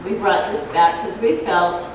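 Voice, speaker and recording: A soft-voiced woman giving an informal explanatory talk at a public forum, speaking in a halting, hesitant way. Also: lowish voice, fairly even intonation. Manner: halting, hesitant, loses her thread. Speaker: ballot measure proponent, debate speaker. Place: community grange hall. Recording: echoey hall, distant noisy microphone.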